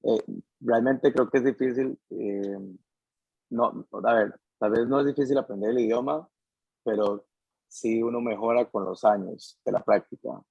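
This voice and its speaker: A man's voice: medium pitch, fairly even intonation, measured pace, vocal fry, a little mellow, breathy edge, dry recording, dull audio. A young man chatting casually as an interview guest. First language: Spanish. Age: young adult.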